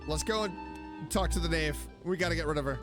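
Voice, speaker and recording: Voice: medium pitch, fast, music in the background. A streamer giving casual, playful, joking reactions while commentating on a video game. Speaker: VTuber streamer.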